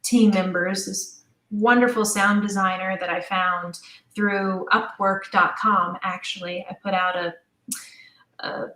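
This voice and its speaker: A woman's voice: medium pitch, steady tone, unhurried, sharp tone, reverberant, rough recording. A woman speaking informally as an interview guest, thinking aloud as she explains her creative work in a chatty, conversational way. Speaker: audio drama writer and director, interview guest.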